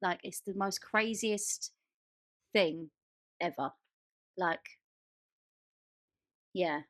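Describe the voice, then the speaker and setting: A woman's voice: lowish voice, drawn-out words, a bit bright, no room sound, clean studio sound. A woman co-hosting a podcast in casual chat, speaking informally and with emphasis. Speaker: podcast co-host.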